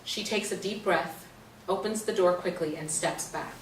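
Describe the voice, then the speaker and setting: A woman's voice: lowish voice, normal speed. A woman narrator reading stage directions in a scripted play reading. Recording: roomy stage, distant mic, faint noise.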